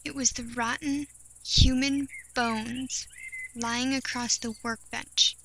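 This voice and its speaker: A woman narrates a first-person horror tale in a fairly high voice. Her delivery is measured and deliberate, broken by storyteller's pauses. Clear outdoor ambience of insects and birds runs behind her words. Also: little pitch movement, a bit bright, poor audio. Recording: clear background noise of nature ambience.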